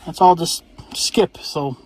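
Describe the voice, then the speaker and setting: A man with a somewhat high voice talks through an unscripted review. He is casual and plain-spoken, with offhand cursing, and sounds like he is recording inside a vehicle. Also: slow pace, darkish tone, a little hiss or hum, low-quality recording. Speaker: vlogger reviewing radio gear.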